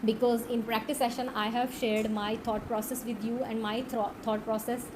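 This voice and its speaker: Woman, Pakistani accent, Urdu first language, online tutor, earnest, unhurried, lots of filler pauses, clear background noise. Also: fairly high pitch, level tone. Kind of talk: direct-to-camera teaching talk.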